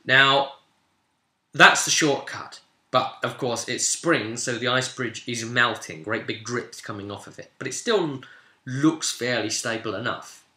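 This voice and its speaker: A British man with a very bright, sharp voice talks through a role-playing session in an echoey room. He tells it casually as the game master, stopping to explain with asides. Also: mid-range voice, a little slow. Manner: informal storyteller, explanatory with frequent asides.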